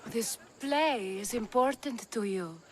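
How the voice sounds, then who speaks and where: A woman's voice: on the high side, slight background noise. A woman playing a flirtatious, worldly, sardonic courtesan of ancient Athens in a video game. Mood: teasing. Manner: sardonic, teasing, unhurried. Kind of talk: video game cutscene acting.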